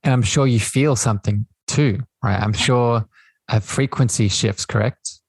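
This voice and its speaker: A man talks as the host of an interview podcast, with a medium-pitched voice and very little room sound. He is earnest and affirming, explaining at length and repeating phrases to stress them. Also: a little slow, dry recording.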